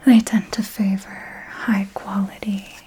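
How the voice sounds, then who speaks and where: A woman acts out an elegant vampire mentor for an ASMR roleplay. She whispers intimately at a very slow, deliberate pace, close to the mic. Her phrasing is formal, with a possessive fondness and calm command. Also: mid-range voice, rough recording. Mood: calm, instructive. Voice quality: breathy whisper. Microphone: close microphone.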